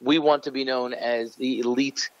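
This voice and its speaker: A man's voice: fairly even intonation, moderate pace, no room sound. A man calling in to an interview, eagerly promoting a holiday program in the tone of a salesman. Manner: enthusiastic salesman pitch. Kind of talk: phone-in interview. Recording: dull phone line.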